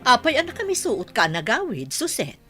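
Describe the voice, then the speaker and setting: A woman's voice: on the high side, huge pitch swings, moderate pace, over music. A woman playing a patient, concerned mother in a comedy radio drama, coaxing and motherly. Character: patient, concerned mother advising her young child.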